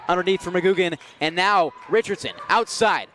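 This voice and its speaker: Young male radio play-by-play announcer, high-pitched, energetic, descriptive basketball commentary. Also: normal speed, some chatter behind. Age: young adult. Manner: energetic, descriptive call of the action. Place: college basketball arena, live courtside call.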